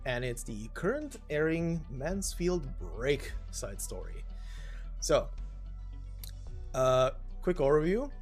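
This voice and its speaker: A man hosting a story narration channel, giving an informal, slightly halting spoken introduction. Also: a little high, sing-song, faint music behind. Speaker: narrator and host.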